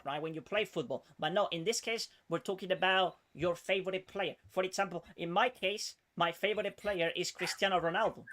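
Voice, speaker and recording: A man with a fairly high voice gives a one-on-one English lesson. He explains step by step and checks his student's understanding with a steady stream of "okay?" He sometimes slips into Spanish to make a point clear. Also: a little fast, hardly any pauses. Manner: patient, checks in with frequent "okay?". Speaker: English teacher.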